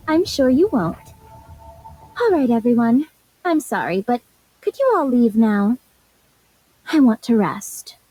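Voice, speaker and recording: A woman voicing a poised, aloof and coolly sardonic commander in a video game, measured and dry. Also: on the high side, sing-song, mellow, husky, no room sound, exaggerated voice, rough recording. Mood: cool, bitter.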